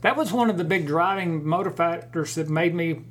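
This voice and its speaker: A man's voice: a little high, moderate pace, fairly bright tone. A middle-aged man, chatting and asking the guest questions as co-host. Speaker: co-host, club board member. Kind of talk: casual chat.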